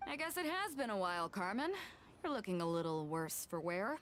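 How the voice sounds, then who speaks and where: A woman's voice: mid-range voice, sing-song, fairly quick, a bit bright, slight background noise. A woman playing a bold, commanding sea captain in a video game, with a breezy, wry manner. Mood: taunting, firm.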